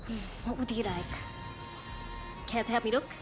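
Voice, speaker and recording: A woman's voice: a little high, moderate pace, lightly acted. An actress playing a spirited, loyal young woman in a dramatic video game. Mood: friendly, musing. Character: spirited, warm young Japanese woman, loyal to her companions. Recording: music behind the voice.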